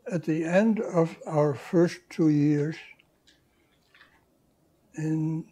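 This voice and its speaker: An older man, recalling his past in an oral history interview, speaking in a measured, wry way, seated in a living room. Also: a little high, warm tone. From Netherlands.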